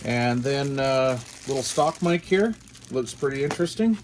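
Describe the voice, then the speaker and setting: A man's voice: medium pitch, expressive, moderate pace, noisy background. A man hosting a casual product unboxing, talking informally and musing aloud in short phrases. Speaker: host of a radio gear channel.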